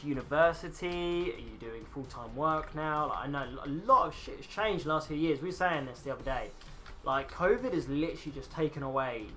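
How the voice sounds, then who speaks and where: A man's voice: on the high side, bright, forceful tone. A man in his twenties casually chatting and rambling to viewers while streaming a game. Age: 20s. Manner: rambling, chatty, swears casually, talks to chat. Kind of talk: casual live-stream chat.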